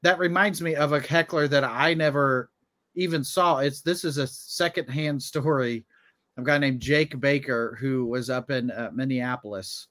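A man's voice: medium pitch, normal speed, a bit bright. A man co-hosting a comedy podcast, chatting casually and telling anecdotes.

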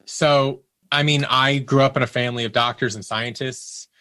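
A man's voice: mid-range voice, fairly even intonation, moderate pace, pushed and bright, dry recording. A man speaking as an interview guest, candidly telling personal stories in a conversational way and acting out quotes as he goes.

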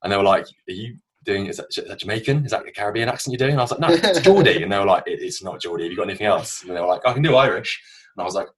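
A man's voice: lowish voice, expressive, rapid-fire, fairly bright tone, husky. A man in his twenties with a southern English accent, co-hosting a podcast with chatty, sweary, self-deprecating storytelling. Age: 20s. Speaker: podcast co-host. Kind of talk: casual anecdote telling between friends.

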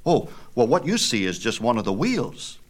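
A man's voice: mid-range voice, huge pitch swings, normal speed. A man voicing a proud, defensive young boy, earnest and stubborn, in a mythology storybook. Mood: defensive bluffing. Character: proud, defensive boy bluffing about his divine father.

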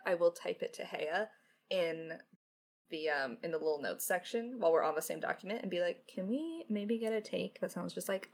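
Woman w a lowish voice, writer on a podcast q&a, casual and a bit wry. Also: a bit bright. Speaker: lead writer and podcast co-host. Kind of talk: casual Q&A chat.

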